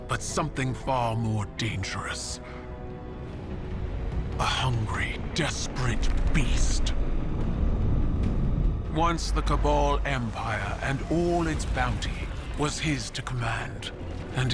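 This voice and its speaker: An actor playing a grave, resolute warrior, giving a solemn, measured monologue about an old foe in a game cutscene. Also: male voice, mid-range voice, close to an everyday voice. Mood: grave, regretful. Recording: loud music behind the voice.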